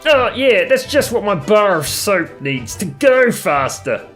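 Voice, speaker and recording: A woman interjects sarcastically, slow and very varied in pitch. Music is clearly heard behind her. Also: very animated.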